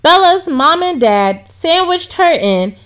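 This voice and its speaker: A woman reading a children's story aloud as scripted, expressive narration. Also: very high-pitched, takes it slowly. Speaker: storybook reader.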